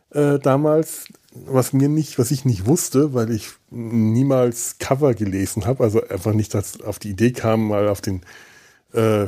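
A man speaking casually as a podcast host, thinking aloud in a halting way and repeating words as he searches. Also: medium pitch, warm tone, husky.

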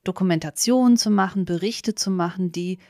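A woman co-hosting a podcast, telling stories in an animated, giggly way in casual, humorous chat. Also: fairly low pitch, a little fast, no room sound, studio quality. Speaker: podcast co-host.

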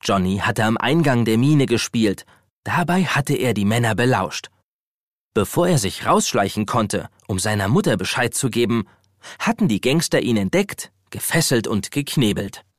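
A man's voice: mid-range voice, animated, normal speed, no echo, clean studio sound. A man narrating a scripted German children's adventure story.

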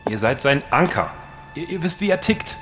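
A man's voice: a little high, big pitch swings, moderate pace, over music. An actor playing a worried, hesitant, fatherly superior in a fantasy audio drama. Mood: pleading.

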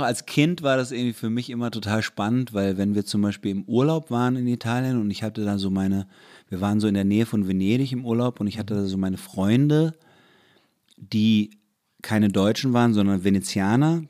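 Rambling, lowish-voiced man. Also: a little fast, darkish tone. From Germany. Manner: rambling, many restarts and fillers. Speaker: conversation partner. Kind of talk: casual chat.

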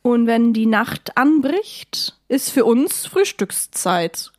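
A woman's voice: fairly high pitch, big pitch swings, takes it slowly. A woman co-hosting a podcast in casual comedic chat, with a giggly, playful, slangy way of talking. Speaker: podcast co-host.

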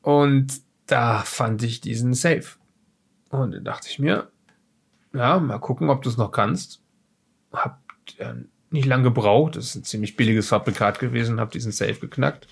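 A man playing a shifty former safecracker in an audio drama, self-justifying and rambling, with a gravelly voice and stammered restarts. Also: medium pitch, measured pace. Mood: evasive, defensive. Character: shifty former safecracker defensively recounting being attacked and kidnapped. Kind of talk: improvised audio drama dialogue.

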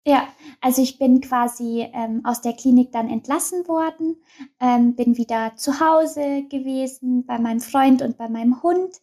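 A woman's voice: high-pitched, a little slow, dark tone. A young woman as an interview guest, talking openly and chattily with many fillers and restarts. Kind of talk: casual personal interview.

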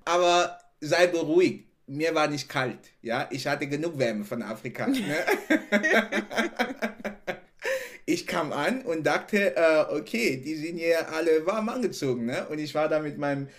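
A man's voice: fairly high pitch, measured pace. Man, laughing often. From Cameroon. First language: not German. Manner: animated, laughs often, repeats "genau" and "ne". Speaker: podcast guest. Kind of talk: casual interview chat.

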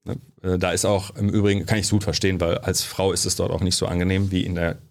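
A man from Germany, a sociologist guest, telling stories in a relaxed studio interview, with lots of fillers. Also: deep voice, fast talker. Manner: chatty storyteller, frequent fillers.

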